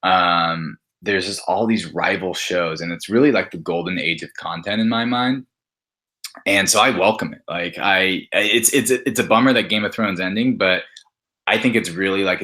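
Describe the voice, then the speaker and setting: A man speaking as a podcast guest in casual, halting chat peppered with "like". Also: deep voice, bright, forceful tone. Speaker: podcast guest, app maker.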